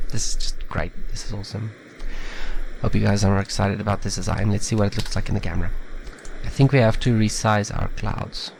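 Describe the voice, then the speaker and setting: A man with a slightly nasal, stuffed-up voice from a head cold, giving a relaxed, chatty step-by-step software tutorial. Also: fairly low pitch, gravelly, a little hiss or hum, poor audio. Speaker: tutorial maker. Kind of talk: casual screen-recorded software tutorial.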